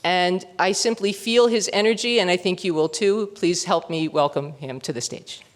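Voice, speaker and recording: A host giving a formal introduction of a guest speaker, warm in praise and correcting a small slip along the way. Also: mid-range voice, normal speed, background noise.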